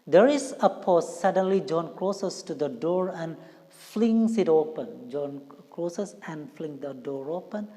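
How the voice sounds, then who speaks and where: A woman reading a play aloud as an English teacher, deliberately repeating and rephrasing lines in simpler words. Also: sits low, expressive, dark tone. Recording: long hollow room reverb.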